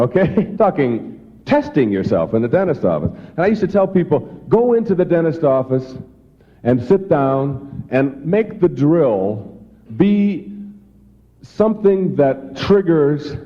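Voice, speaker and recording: A man giving a humorous self-help talk in an animated, joking storytelling way, acting out quotes as he goes. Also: fairly high pitch. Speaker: motivational speaker. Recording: dull lo-fi old tape.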